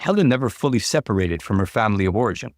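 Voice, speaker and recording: A man voicing a calm female therapist in a narrated story, explaining in a measured way. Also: fairly low pitch, dry recording, studio quality, natural voice.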